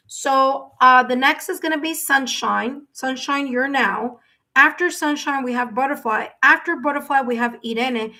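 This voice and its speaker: A woman's voice: high-pitched, sharp tone. A woman hosting a live tarot reading, talking to her viewers in a chatty way and often checking in with "okay?". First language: English, with Spanish as second language. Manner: chatty, repeats herself, tags sentences with "okay?". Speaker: tarot reader and live-stream host.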